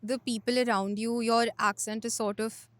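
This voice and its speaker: A woman's voice: a little high, level tone, normal speed, no room sound. A young woman from Delhi, chatting casually with frequent restarts. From Delhi, India. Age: young adult. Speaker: podcast guest. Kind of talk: casual group chat.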